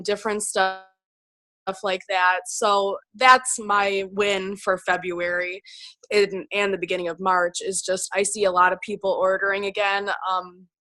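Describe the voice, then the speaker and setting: A woman's voice: medium pitch, flat delivery, unhurried, pushed and bright. A woman with a cold, her voice scratchy, chatting in a rambling way on a video call. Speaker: team member on a call. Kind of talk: casual call chat.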